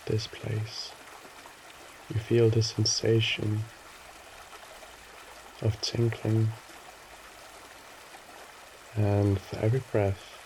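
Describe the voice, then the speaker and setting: A man leads a guided meditation in a mellow, soft-edged voice that stays very even. He gives gentle, soothing instructions in short phrases with pauses, while water trickles faintly behind him. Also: on the low side, slight background noise.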